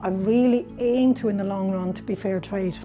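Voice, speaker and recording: A middle-aged woman from Denmark, not a native English speaker, answers questions in her workshop at a medium pitch. She talks casually, with plenty of fillers and restarts, while soft music plays underneath. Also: dull audio. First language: Danish. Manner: halting, many restarts and fillers. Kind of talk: casual interview.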